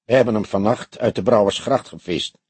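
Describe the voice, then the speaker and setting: Man playing a husky, dry older police detective. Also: on the low side, a little mellow, dry recording. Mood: grave. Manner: deliberate, explanatory, dryly humorous. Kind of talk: audiobook character dialogue.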